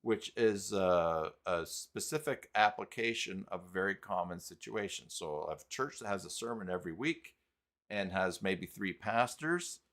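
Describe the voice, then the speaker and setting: Low-pitched man hosting a casual live stream. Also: lively intonation, fairly bright tone. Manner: halting, thinking aloud while clicking around. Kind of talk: casual live tutorial talk, reading chat.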